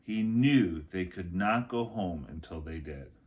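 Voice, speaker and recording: A middle-aged man reading a children's chapter book aloud as a teacher would, with expressive narration. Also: low-pitched. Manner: slow, expressive narration with acted dialogue. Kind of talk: children's story read-aloud. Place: small room at home, indoors.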